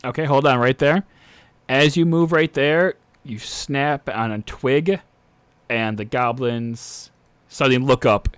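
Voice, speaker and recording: A man's voice: medium pitch, slow, clean tone, no echo. A young man runs a tabletop game, stopping often to think and restart his sentences. He is recorded close and dry, with no room sound. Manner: hesitant, lots of uh and restarts. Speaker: dungeon master.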